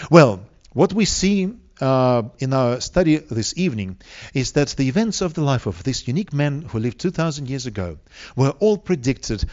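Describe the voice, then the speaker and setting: A man from Siberia with a Russian accent preaches to an audience, speaking deliberately with rhetorical questions and emphatic pauses. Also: medium pitch, a little mellow, highs cut off. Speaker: preacher, evangelistic seminar speaker. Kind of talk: sermon to a live audience.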